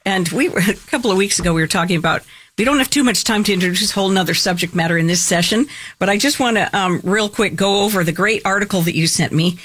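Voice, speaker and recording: A radio show host, chatty and quick with short responses, steering a talk with a finance guest. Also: medium pitch, a bit bright.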